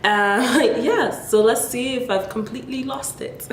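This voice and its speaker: A woman's voice: a little high, a little slow, slight background noise. A young woman from Nigeria chatting playfully to her viewers in a casual vlog, with laughs between remarks. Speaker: vlogger. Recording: echoey room.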